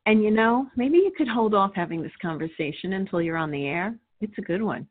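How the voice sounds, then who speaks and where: A woman playing a businesslike radio producer and announcer, composed and gently prompting, with a narrow-band sound. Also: mid-range voice, animated, fairly quick, close to an everyday voice. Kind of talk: studio cues and programme announcing.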